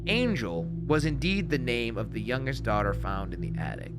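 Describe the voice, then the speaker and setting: A man's voice: medium pitch, sing-song, normal speed. Casting: male narrator for scripted horror documentary, factual and formal, with prominent music under the voice. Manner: matter-of-fact, formal.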